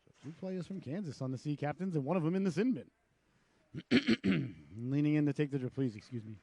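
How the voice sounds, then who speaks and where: A man with a raspy voice from a cold, calling live hockey play-by-play with chatty asides. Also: fairly high pitch, animated, a little mellow, dry recording. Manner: chatty asides between rapid calls. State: hoarse from a cold. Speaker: play-by-play announcer.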